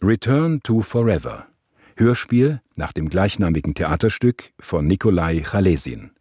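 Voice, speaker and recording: A man's voice: sits low, very animated, a little slow, warm tone, slightly rough, no room sound, studio quality. A man announcing scripted credits for a radio play.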